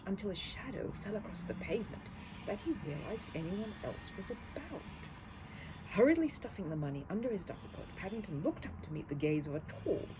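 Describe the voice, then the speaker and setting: A woman reading a children's story aloud as an expressive narrator, with noise in the recording. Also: mid-range voice. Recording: clear background noise.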